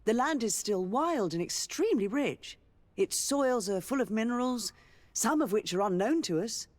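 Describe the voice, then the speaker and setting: An actress playing a learned, diplomatic noblewoman governor in a video game, speaking in a measured, formal way. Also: fairly high pitch, lively intonation, darkish tone, lightly acted. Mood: fascinated, respectful. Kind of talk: scripted video game dialogue.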